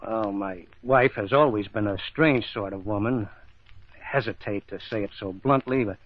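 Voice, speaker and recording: An actor playing a cold, smooth-talking middle-aged husband with murderous intent in an old-time radio mystery, speaking in a measured, formal way. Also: male voice, fairly low pitch, close to an everyday voice. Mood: composed, evasive. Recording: dull lo-fi old radio recording.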